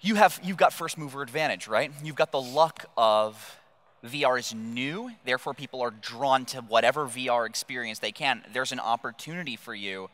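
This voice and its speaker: A male interviewer on a conference stage with a bright, sharp-edged voice at medium pitch. He assembles long questions in halting pieces, restating as he goes. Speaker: interviewer, moderator.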